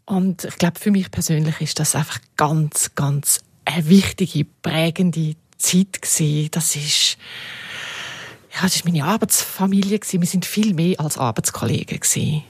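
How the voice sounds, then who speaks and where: A woman's voice: deep voice, moderate pace, slightly rough, no room sound. A middle-aged or older woman with a Swiss German accent, reminiscing conversationally and emphatically as an interview guest. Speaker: podcast guest, former radio editorial head.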